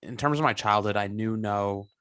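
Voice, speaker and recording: A man's voice: fairly low pitch, normal speed, fairly bright tone, clear, resonant tone. A man in his twenties, a guest on an interview podcast, talking earnestly over a video call with many restarts. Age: 20s. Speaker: guest entrepreneur.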